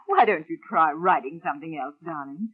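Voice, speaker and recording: A husky society wife in an old radio drama, indulgent and fondly patronising. Her delivery is very lively, on a dull vintage recording. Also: low-pitched, normal speed. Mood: fond, amused. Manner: fond, patronising. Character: wealthy, indulgent, infatuated wife and theatre producer. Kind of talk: 1940s radio drama acting.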